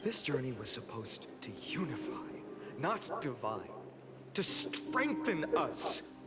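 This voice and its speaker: An actor playing a solemn male orator addressing a grieving city in a theatrical, measured game speech. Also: mid-range voice, big pitch swings. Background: loud orchestral music.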